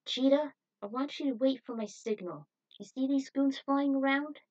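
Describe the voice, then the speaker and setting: A woman's voice: sits high, normal speed, darkish tone, dull audio, pitched above the performer's own voice, close to an everyday voice. A woman voicing a young male squad leader who gives urgent, encouraging orders in an action story. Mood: commanding, encouraging. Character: commanding young male squad leader rallying his troops.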